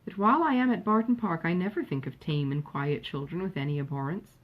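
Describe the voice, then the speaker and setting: A woman reading the part of a polite, reserved young gentlewoman in a storybook, composed and drily tactful. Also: fairly low pitch, a little fast, highs cut off, close to an everyday voice. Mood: dry. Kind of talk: acted dialogue in a storybook reading.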